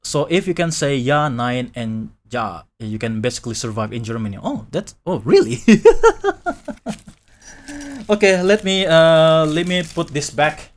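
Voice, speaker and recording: A man's voice: on the high side, lively intonation, measured pace. A man from Indonesia, chatting casually on a live stream, rambling and restarting his sentences and laughing often. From Indonesia, Malay from Sumatra. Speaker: VTuber streamer.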